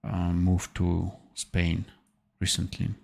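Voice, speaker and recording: Slow, gravelly low-voiced man thinking aloud. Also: monotone, darkish tone. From Armenia. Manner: thinking aloud, halting with fillers and self-corrections. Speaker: animation tutor. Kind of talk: live-streamed software tutorial.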